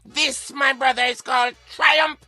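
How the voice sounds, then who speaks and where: A man debating in formal English, in a halting but insistent manner. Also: very high voice, fairly even intonation, bright, forceful tone, dry recording. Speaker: radio show co-host.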